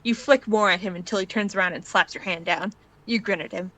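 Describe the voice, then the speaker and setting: Bright-voiced woman narrating fan fiction. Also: mid-range voice, brisk, low-quality recording. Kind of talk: scripted fan fiction narration in second person.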